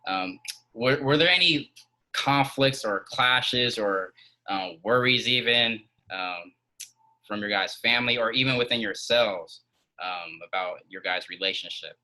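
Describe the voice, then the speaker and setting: A man hosting an interview, asking questions with hesitant pauses and many fillers. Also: lowish voice, bright, forceful tone, rough recording. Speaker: host.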